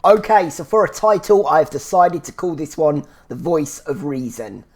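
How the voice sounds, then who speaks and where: A man's voice: fairly high pitch, animated, normal speed. A man hosts a casual solo review show. He sounds a little congested and phlegmy, and he talks in a chatty, rambling way with lots of "so yeah" restarts. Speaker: podcast host reviewing a TV series.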